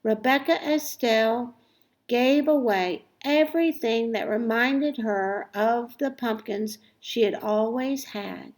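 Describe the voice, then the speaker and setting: A woman reading a children's story aloud expressively, with deliberate, emphatic phrasing and dramatic pauses. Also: fairly high pitch. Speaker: storybook reader.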